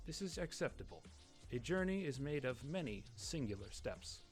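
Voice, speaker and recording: A man's voice: medium pitch, sing-song, moderate pace, soft background music, pitched above the performer's own voice. A man voicing a proud orator of a robot people in a game story, formal, grandiose and declamatory. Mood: grand resolve. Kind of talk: dramatic reading of game story text.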